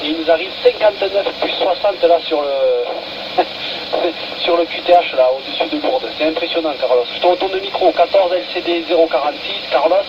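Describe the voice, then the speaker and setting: A man's voice: fairly high pitch, moderate pace. A man making a casual radio contact, enthusiastic and slangy. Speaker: amateur radio operator. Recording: noisy, muffled radio transmission.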